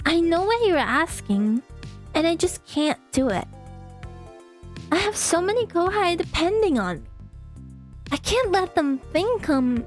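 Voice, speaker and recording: A woman puts on a popular sporty schoolgirl from a visual novel, shy and secretive. The voice is high and lively in pitch, with a hesitant, guarded delivery. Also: measured pace, long pauses, soft background music, heavily acted. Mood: hesitant, nervous.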